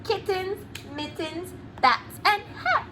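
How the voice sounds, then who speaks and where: Female preschool teacher, high and very lively, slow deliberate diction, faint background noise. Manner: enunciates words deliberately, asks the children questions. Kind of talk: animated read-aloud lesson for young children.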